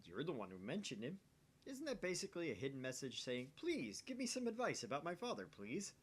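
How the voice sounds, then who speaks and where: A man's voice: fairly high pitch, huge pitch swings, fairly quick, breathy edge, pitched above the performer's own voice, heavily acted. A man doing a brash, theatrical young scientist. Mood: pushy, theatrical. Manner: dramatic, pushy, teasing. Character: brash, nosy, theatrical young male self-styled scientist.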